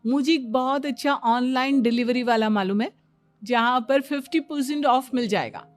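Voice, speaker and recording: A woman's voice: high voice, moderate pace, faint music behind, pitched above the performer's own voice, close to an everyday voice. A woman voicing an eager, boastful young daughter-in-law in a cartoon family story, insistent and keen to explain everything. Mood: eager, confident.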